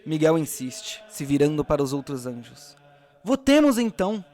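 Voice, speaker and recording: A man's voice: a little high, fairly even intonation, normal speed, a little mellow. A man narrating and hosting an audio role-playing drama as game master.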